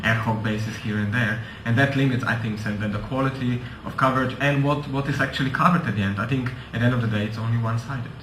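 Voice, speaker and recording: A man's voice: mid-range voice, fairly even intonation, fast talker, few pauses, fairly bright tone. Man speaking informally in an echoey hall. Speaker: conference speaker. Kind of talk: informal panel remarks. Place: indoor conference hall. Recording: echoey conference room, faint noise.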